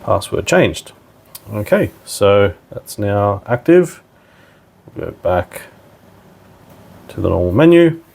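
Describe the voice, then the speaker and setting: Low gravelly guy walking thru a tech setup step by step, casual and plain. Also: big pitch swings, slow pace, pauses often, darkish tone. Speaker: product tutorial presenter. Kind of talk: casual step-by-step hands-on technical demonstration.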